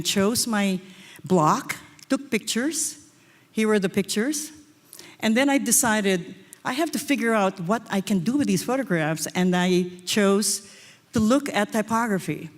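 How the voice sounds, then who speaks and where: A woman, giving a short stage lecture as a design professor and telling personal stories. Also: medium pitch. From Philippines. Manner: storytelling, personal anecdotes. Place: auditorium stage. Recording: reverberant hall.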